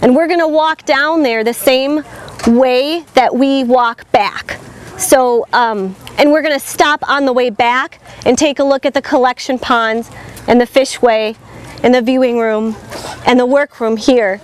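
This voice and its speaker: A young woman naturalist guiding a tour outdoors, talking with a cold and slightly losing her voice, steady and informative with frequent ums. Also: high voice, a little slow, smooth. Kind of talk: outdoor guided tour talk. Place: outdoors by a river facility with a crowd. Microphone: far camera, no visible mic.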